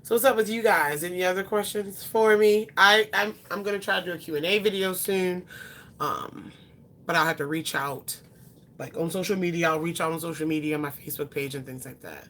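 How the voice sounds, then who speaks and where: A woman's voice: fairly low pitch, fairly quick, fairly bright tone. A woman in her twenties chatting casually with viewers and answering their questions, rambling with frequent ums and self-corrections. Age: 20s. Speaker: beauty vlogger.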